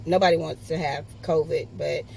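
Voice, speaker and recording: A woman's voice: low voice, steady tone, moderate pace, vocal fry, mellow, a little hiss or hum. A woman talking casually to her vlog audience in a hesitant, anxious manner. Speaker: vlogger. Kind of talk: casual personal vlog talk. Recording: music bed behind the voice.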